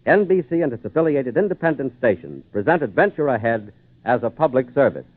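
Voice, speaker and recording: A man's voice: medium pitch, big pitch swings, brisk, background noise. An American man announcing in scripted 1940s radio style. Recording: tinny phone-like old radio recording.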